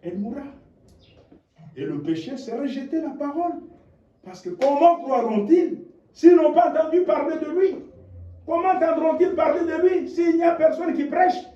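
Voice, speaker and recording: Impassioned preacher, high voice. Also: male voice, expressive, normal speed. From DR Congo. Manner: impassioned, swinging from low murmurs to shouted rhetorical questions. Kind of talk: sermon. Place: church hall. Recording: echoey, distant, dull lo-fi church recording.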